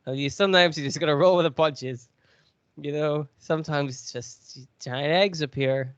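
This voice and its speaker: A man's voice: fairly high pitch, normal speed, clear, resonant tone, dry recording, lo-fi sound. A man with a cold, sniffly, chatting and joking as he hosts a podcast quiz. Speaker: podcast co-host. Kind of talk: casual podcast chat.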